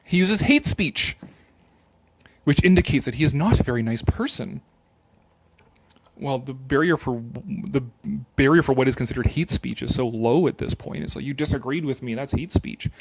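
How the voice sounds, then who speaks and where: A man doing a dramatic reading with off-the-cuff commentary, animated and sarcastic in his manner. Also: medium pitch, moderate pace, husky, no echo, poor audio.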